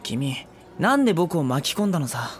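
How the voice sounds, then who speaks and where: A man playing a gentle, exasperated, self-deprecating high school boy in a Japanese anime audio drama. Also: big pitch swings, fairly quick. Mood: questioning.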